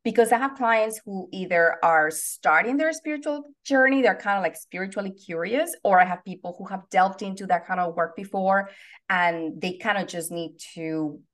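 A woman's voice: medium pitch, moderate pace, a bit bright. A woman from Venezuela with a Venezuelan Spanish accent, answering interview questions in a bubbly, earnest way as a guest coach. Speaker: soul purpose coach, guest.

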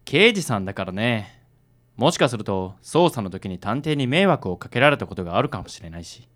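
Self-styled handsome gent male actor, affected, reassuring, very lively melody, radio drama. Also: lowish voice, a little fast. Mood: gently reasoning. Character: suave self-styled handsome man, kindly and theatrical.

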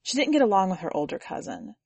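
A woman reads a short story in a measured, even cadence, her voice sitting at a middling pitch. The sound is close and dry, with no room around it. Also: creaky, slightly rough, dry recording. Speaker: podcast editor and story reader. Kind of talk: fiction audiobook narration.

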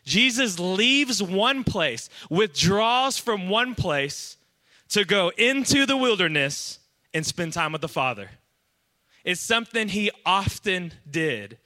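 Bright, upbeat man preaching a sermon. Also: high voice, slow. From Iran. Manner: energetic, chatty, asks the crowd for responses. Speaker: pastor. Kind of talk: sermon to a young adult congregation.

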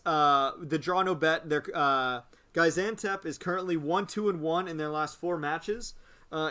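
A man's voice: fairly high pitch, a bit bright, clean tone. A young man hosting a casual sports-betting podcast chat, loose and joking, with lots of filler "uh"s. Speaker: podcast host running the picks.

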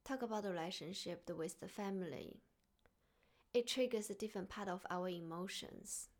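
A woman from China with a Chinese accent, speaking earnestly and softly as the host of a reflective solo podcast. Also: medium pitch, moderate pace.

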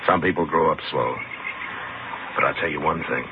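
An American actor playing a calm, dry, laconic frontier lawman in a 1950s radio western. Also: a bass voice, moderate pace. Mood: dry, patient. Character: big, calm, dryly wise frontier lawman. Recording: tinny, noisy 1950s radio broadcast recording.

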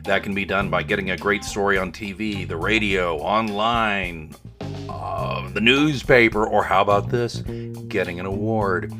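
A man's voice: fairly low pitch, unhurried, over music. A middle-aged man hosting a business podcast, making earnest, friendly appeals to listeners and introducing his guest. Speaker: podcast host. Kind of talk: podcast host talk.

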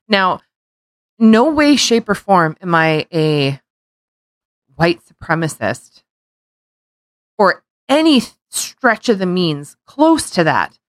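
A lower-pitched woman in middle age co-hosts a casual podcast, rambling and circling back on herself as she vents. The recording is dry and close. Her talk is peppered with restarts and the odd curse. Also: no room sound. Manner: heated, rambling, swears, frequent restarts. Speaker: podcast co-host. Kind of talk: casual opinion chat.

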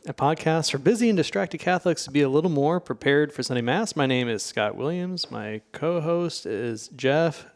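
A man hosting a podcast with casual, teasing banter and quick quips. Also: a little high, lively intonation. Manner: teasing, quippy. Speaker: podcast host.

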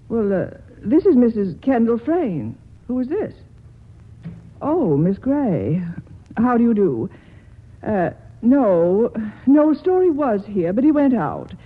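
Husky, exasperated society woman, old radio. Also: medium pitch, exaggerated voice. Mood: flustered, humiliated. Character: proud, exasperated society woman, easily wounded. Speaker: actress. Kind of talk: 1940s radio comedy acting. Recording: muffled 1940s radio broadcast recording.

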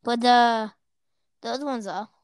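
A woman's voice: fairly high pitch, unhurried, smooth, dry recording, studio quality. A young woman hosting a casual chat, rambling with fillers between her questions.

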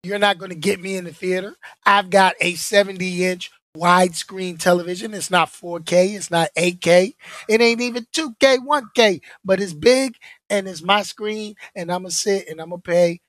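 A man hosting his own podcast in casual chat, hoarse from being under the weather, playful and slangy. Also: sits high, normal speed, fairly bright tone, no echo. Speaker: podcast host.

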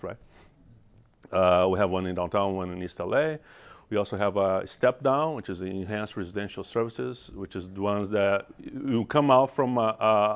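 Middle-aged man with a Brazilian accent, gravelly low voice, halting presenter, dull lo-fi recording. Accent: Brazilian Portuguese. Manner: halting, many restarts and repeated words. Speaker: regional director of mental health programs. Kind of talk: presentation to an audience. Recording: dull lo-fi sound.

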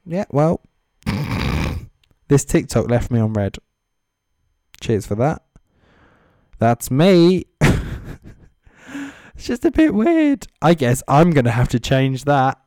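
A man's voice: mid-range voice, big pitch swings, slow, pauses often, a little mellow, no echo, clean studio sound. A man giving casual, off-the-cuff comedic commentary as a host, with sarcastic, mocking asides. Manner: sweary. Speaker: comedy host reading social media comments.

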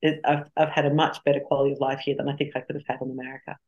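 A woman's voice: very deep voice, little pitch movement, racing, darkish tone, muffled recording. A middle-aged woman with an Australian accent, speaking frankly and reflectively as an interview guest. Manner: frank and reflective, laughs easily. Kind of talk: candid interview conversation.

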